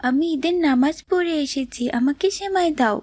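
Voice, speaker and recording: Woman as a high, childlike, insistent little brother. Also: normal speed, a little mellow, no room sound, natural voice. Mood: whiny, demanding. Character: hungry little brother, whiny, stubborn, tender-hearted. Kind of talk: dramatic cartoon voice acting.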